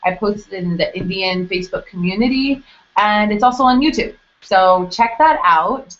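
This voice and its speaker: A woman's voice: medium pitch, animated, moderate pace. A young woman hosting a live webcast information session for an admissions office. She talks casually and explains things step by step, with plenty of fillers. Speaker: international admissions staff host. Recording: dull lo-fi webcast audio.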